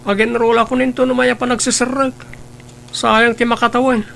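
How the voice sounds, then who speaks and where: A man playing a headstrong young man in a spoken family drama, pushing his case quickly and insistently. He sounds higher than the grandfather, with a bright, gravelly edge. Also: little pitch movement, heavily acted.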